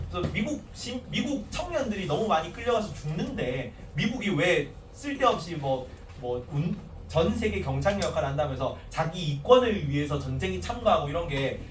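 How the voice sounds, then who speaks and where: Animated male teacher with a Gyeongsang lilt. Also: fairly high pitch. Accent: standardized Gyeongsang Korean. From Gyeongsang region. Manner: animated, talks to students casually, restarts and asides. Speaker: social studies teacher. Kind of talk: classroom lecture. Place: classroom. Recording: echoey classroom, distant mic, faint hiss.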